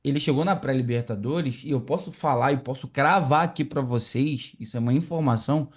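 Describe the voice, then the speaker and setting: A man's voice: mid-range voice, a little fast. A man speaking as a guest sports commentator in a casual podcast chat, making confident claims.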